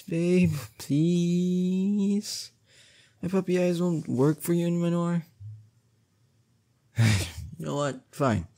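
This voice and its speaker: A young man playing a strong, doting boyfriend in an intimate roleplay audio drama, coaxing and teasing affectionately with drawn-out words. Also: on the high side, mellow, dry recording, full character voice. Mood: exasperated, pleading. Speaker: voice actor.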